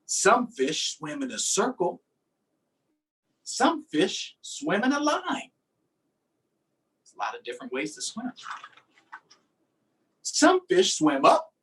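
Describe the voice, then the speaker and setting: A man's voice: extremely high pitch, huge pitch swings, slow, long pauses, a bit bright, distant mic. A man hosting a storytime, reading aloud and chatting simply and encouragingly with young children. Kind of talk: read-aloud and casual chat to young children.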